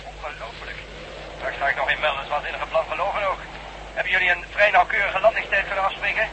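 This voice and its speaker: A man playing a gruff, businesslike chief speaking over a two-way radio in a science fiction drama. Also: high voice, fairly even intonation. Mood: brisk, relieved. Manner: brisk, clipped radio procedure. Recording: noisy crackly radio link.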